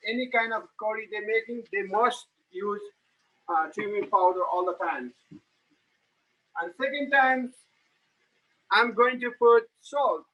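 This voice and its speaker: A man from Nepal with a Nepali accent, giving a live cooking demonstration and narrating each step in halting, plain English. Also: high-pitched, highs cut off. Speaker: home cook giving a cooking demo.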